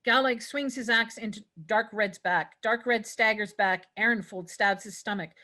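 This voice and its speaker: A woman's voice: on the high side, normal speed, sharp tone. A woman reading stage directions as narrator in a scripted fantasy table read.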